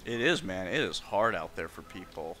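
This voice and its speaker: A man's voice: low voice, expressive, normal speed, bright, forceful tone. A man chatting casually and sympathetically in a loose, filler-heavy way. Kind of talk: casual chat.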